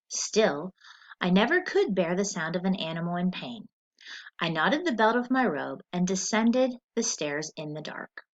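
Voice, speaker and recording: A woman teacher reading a story aloud in an expressive, deliberate, instructional way, as for a lesson with questions. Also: lowish voice. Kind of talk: scripted story read-aloud with comprehension questions. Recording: dull lo-fi recording.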